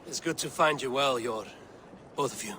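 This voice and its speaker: An actor playing a resolute, grim and forthright Viking warrior in a dramatic video game. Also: male voice, mid-range voice, normal speed, background noise, close to an everyday voice. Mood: earnest, assured.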